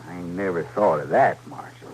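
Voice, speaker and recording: Slow-witted, childlike Western outlaw played by a man. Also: low voice, darkish tone, close to an everyday voice. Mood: aggrieved, plaintive. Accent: American Western drawl. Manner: slow, halting, plaintive rural speech. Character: simple-minded, childlike young outlaw, beaten and trusting. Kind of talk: old-time Western radio drama acting. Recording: noisy vintage radio recording.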